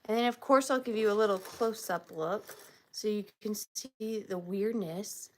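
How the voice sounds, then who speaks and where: A woman hosting a live vintage sale from her home, talking softly and chattily, sometimes dropping to a whisper. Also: mid-range voice. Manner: soft, slow and chatty, drifting into whispers. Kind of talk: live auction selling chat. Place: home studio with ring light.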